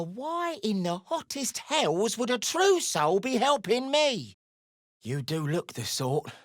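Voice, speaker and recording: A woman playing a foul-mouthed, defiant gnome in a video game, with a brash, gruff and sardonic delivery. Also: lowish voice, a bit bright, no room sound, clean studio sound, full character voice. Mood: wary.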